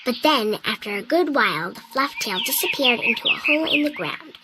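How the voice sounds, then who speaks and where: A woman voicing a small winged girl who earnestly tells a children's tale, in video game dialogue, with loud noise behind. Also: high voice, animated, a little fast. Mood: storytelling. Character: small winged girl, sweet and eager storyteller.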